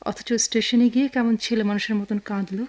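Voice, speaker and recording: An actress playing a caring, anxious sister-in-law in a family radio drama, with a homely, probing manner and a Bangladeshi accent. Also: female voice, on the high side, fairly even intonation, fast, darkish tone, natural voice. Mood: worried. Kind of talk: Bengali radio drama acting.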